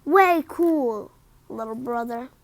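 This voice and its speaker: A woman's voice: on the high side, animated, slow, no echo, pitched below the performer's own voice. A woman voicing a bold, encouraging big-brother surfer cat in a children's storybook, upbeat and calling out. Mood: impressed.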